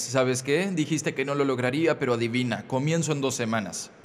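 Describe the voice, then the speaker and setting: A middle-aged man giving a motivational talk as a voice-over, earnest, measured and emphatic. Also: medium pitch, background noise. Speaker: motivational speaker.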